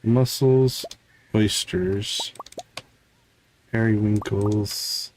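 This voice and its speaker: A man's voice: fairly low pitch, fairly even intonation, very slow, a little mellow. Man casually streaming a game, noisy background. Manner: thinking aloud, addressing viewers casually. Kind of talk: casual live gameplay commentary.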